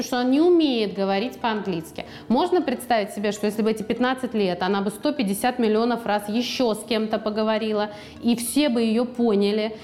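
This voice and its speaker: A woman guest on a studio podcast, talking in a lively, chatty way and now and then acting out what people said to her. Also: on the high side, slight background noise. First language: Russian. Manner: lively, chatty, acts out quoted speech. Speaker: guest author. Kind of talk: podcast conversation.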